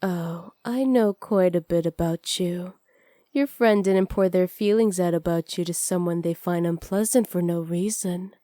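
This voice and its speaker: Woman acting as seductive witch, low mellow voice, breathy and often whispered, sly, possessive, ASMR roleplay. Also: dry recording, clean studio sound, close to an everyday voice. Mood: sly, probing. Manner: slow, breathy, often whispered, flirtatious purr. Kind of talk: ASMR roleplay, intimate address to the listener. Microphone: close studio microphone.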